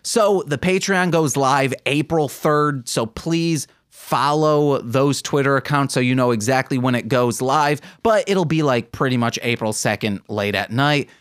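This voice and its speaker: A man's voice: medium pitch, measured pace, few pauses, a bit bright, smooth, no echo, clean studio sound. A man hosting a podcast, speaking casually as he runs through announcements and sign-offs. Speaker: podcast host. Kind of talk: casual podcast outro with plugs.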